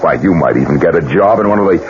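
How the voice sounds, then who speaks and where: An actor playing a shaken, defensive widower bristling under questioning with sarcasm and agitation in a 1970s radio drama. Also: male voice, sits low, lively intonation, fast. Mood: agitated, defensive. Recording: muffled noisy old radio recording.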